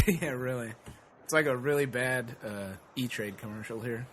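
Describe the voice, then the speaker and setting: Guest co-host, male, 30s; a bright, sharp voice and a loud laugh. Irreverent podcast riffing. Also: medium pitch, a little slow, slight background noise. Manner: crude, mocking jokes with a loud laugh. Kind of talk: casual podcast banter.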